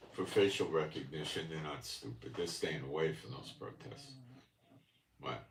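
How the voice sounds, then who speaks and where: Live stream host, man raised in America, very low voice, speaking from a distance in an echoey room. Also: fairly bright tone, slight background noise. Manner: musing, speaks in short broken phrases. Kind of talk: casual live stream commentary. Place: home room in Israel. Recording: echoey room, far from mic.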